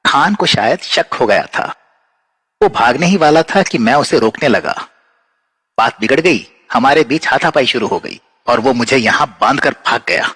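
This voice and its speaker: एक आदमी की आवाज़ में संयमित अंडरकवर जासूस. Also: male voice, medium pitch, expressive, moderate pace, fairly bright tone, breathy edge. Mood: explaining, secretive. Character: composed, watchful male undercover police detective. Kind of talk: Urdu-Hindi audio drama acting. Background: background music.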